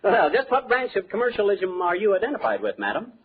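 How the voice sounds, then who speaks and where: Lively, blustery radio-comedy husband. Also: male voice, high-pitched, fast. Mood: amused, joshing. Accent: American. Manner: folksy wisecracking, exclamatory. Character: blustery, overconfident husband, eager amateur handyman. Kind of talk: 1940s radio comedy acting. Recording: muffled noisy 1940s radio, loud studio audience.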